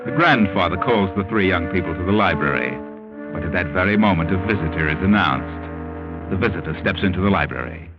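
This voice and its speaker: An actor playing an ominous, all-knowing narrator, telling a 1940s radio mystery dramatically. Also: male voice, low voice, lively intonation, fairly quick. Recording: dull old 1940s radio recording. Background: loud music.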